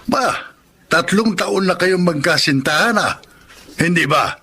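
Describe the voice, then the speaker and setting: A man's voice: high-pitched, animated, measured pace, sharp tone, slightly rough, exaggerated voice. A man with a Filipino accent playing a concerned, nagging older father in a radio drama, folksy and full of advice. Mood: concerned, probing. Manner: folksy, advising, questioning.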